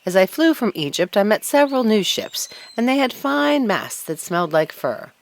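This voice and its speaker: A woman voicing an assured, well-travelled male stork in a children's storybook, declaiming a little briskly. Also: medium pitch, very animated, pitched above the performer's own voice. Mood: assured, impressed.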